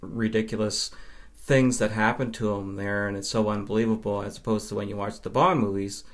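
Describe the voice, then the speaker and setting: A man's voice: fairly low pitch, steady tone, brisk. A man from Nova Scotia, Canada, chatting casually as an interview guest and film fan.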